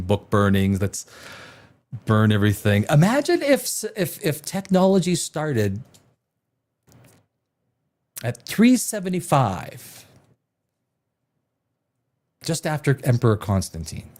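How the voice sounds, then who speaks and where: Rambling man with a lively voice. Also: medium pitch. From Czech Republic. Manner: rambling, off on tangents, with stammered restarts and swearing. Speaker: livestream host. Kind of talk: rambling solo livestream talk.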